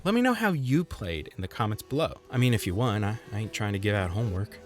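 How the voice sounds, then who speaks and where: A man's voice: lowish voice, big pitch swings, fast talker, over loud music. A man reading a scripted game review voice-over with dry, self-deprecating humor and sarcastic asides.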